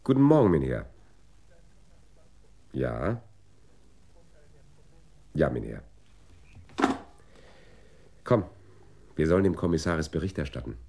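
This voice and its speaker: A dutiful, curt policeman in a radio play. His voice is extremely deep and gravelly, with unhurried delivery. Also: big pitch swings, mellow, a little hiss or hum, full character voice. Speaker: police detective. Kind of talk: 1980s radio drama acting.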